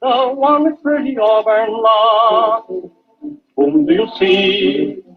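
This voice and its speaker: A man's voice: very high-pitched, slow, soft background music. A man singing an old-time children's radio show theme. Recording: dull old radio recording.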